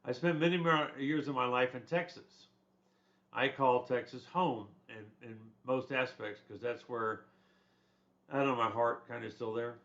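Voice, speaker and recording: An older man lectures in a loose, conversational way, drifting into asides and restarting his sentences as he goes. His voice sits at an ordinary pitch for a man, and the recording sounds a little dull and muffled. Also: steady tone, unhurried, a bit bright. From born in Germany, raised in the United States. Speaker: instructor. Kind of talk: casual lecture on a textbook chapter.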